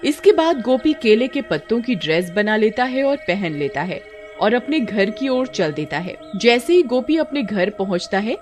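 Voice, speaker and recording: Woman narrator, lively swooping delivery. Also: on the high side, moderate pace, faint music behind. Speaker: story narrator. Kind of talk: scripted narration of a cartoon story.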